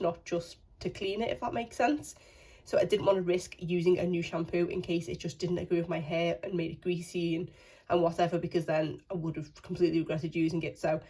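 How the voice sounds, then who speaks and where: A woman beauty vlogger, croaky from a cold, chatting casually to a handheld phone. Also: lowish voice, darkish tone, low-quality recording. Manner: apologetic, chatty, rambling. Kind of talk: casual chat to camera. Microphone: phone held in hand.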